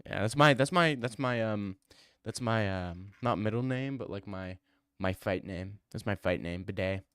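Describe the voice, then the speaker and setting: A man's voice: on the low side, normal speed, a bit bright, clear, resonant tone, dry recording, clean studio sound. A young man streaming and answering viewer comments in a casual, teasing way, full of mock bravado.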